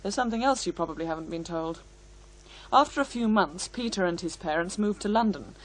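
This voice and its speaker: A woman's voice: fairly low pitch, expressive, a little fast, poor audio. A woman in a radio play acting a composed, bitter daughter who speaks in a firm, articulate and scornful way. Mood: firm, explaining.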